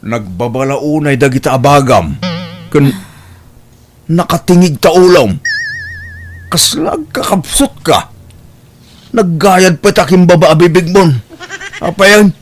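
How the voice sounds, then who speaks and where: Animated, fussing old father, played by a man. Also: fairly high pitch, a little slow, pauses often, faint music behind. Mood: fussing, coaxing. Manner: fussing, coaxing, exclamatory. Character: doting, fussing old father of a grown daughter. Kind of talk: radio-style Ilocano comedy drama acting.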